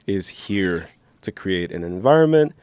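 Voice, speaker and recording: A man's voice: low-pitched, animated, unhurried, a little mellow, smooth. A young man from New Jersey co-hosting a casual movie reaction channel, talking in a playful, rambling, teasing way. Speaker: co-host. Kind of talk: casual chat.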